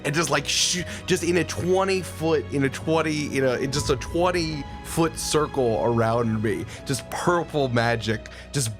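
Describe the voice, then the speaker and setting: A man's voice: a little high, a little slow, few pauses. Playful man narrating game moves over music. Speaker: tabletop game player. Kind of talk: casual improvised game narration. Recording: music bed behind the voice.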